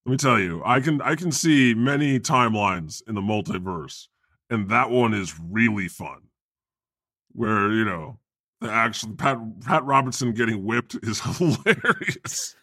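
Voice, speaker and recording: Flippant man playing a podcasting God, animated delivery. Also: fairly low pitch, a little slow, dry recording, clean studio sound, heavily acted. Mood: mocking, flippant. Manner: flippant, profane, teasing. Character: irreverent male God hosting a podcast. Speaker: podcast host. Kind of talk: casual comedic podcast banter.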